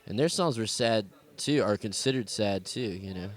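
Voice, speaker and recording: A man's voice: lowish voice, expressive, normal speed. A young man with a Southern US accent, talking casually and ramblingly in an interview about his music, swearing now and then. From American South. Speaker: musician guest.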